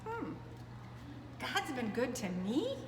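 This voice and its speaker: A woman's voice: high-pitched, huge pitch swings, slow pace, exaggerated voice. A woman voicing a big, sulky male storybook rhino who mutters and whines in self-pity. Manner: muttering, whining, groping for words. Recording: echoey reverberant room, clear background noise.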